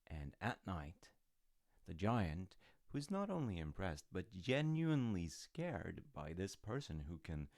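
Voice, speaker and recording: A man's voice: deep voice, very animated, slow pace, a little mellow. A man retelling a fairy tale in a casual, rambling way with informal, wry asides. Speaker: storyteller dad character in audio roleplay.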